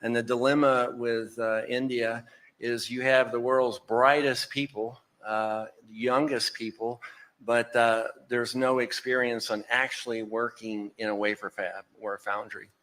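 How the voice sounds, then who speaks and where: A man's voice: medium pitch, flat delivery, measured pace, a bit bright, rough recording. A man with a Texan accent giving an informal panel answer, laying out his points one by one. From Texas, United States. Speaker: panelist, semiconductor industry executive. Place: live conference stage.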